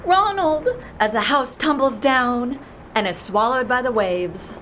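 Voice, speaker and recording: A woman playing a brisk, persuasive and wry boss of a shady outfit in an audio drama. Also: on the high side, lively intonation, fairly bright tone, a little hiss or hum. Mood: brisk, scheming. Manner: persuasive, wry, matter-of-fact. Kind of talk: audio fiction drama acting.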